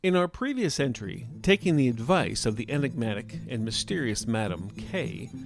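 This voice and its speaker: Guy narrating a story podcast, measured n dramatic, medium pitch, bit of music behind. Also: big pitch swings, creaky. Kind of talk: scripted narration.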